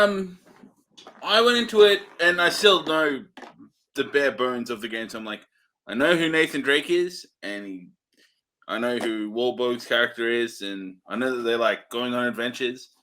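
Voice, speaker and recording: Male co-host, bright mid-pitched voice, casual review chat, dry and understated. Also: moderate pace, slight background noise. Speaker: co-host of a film review show.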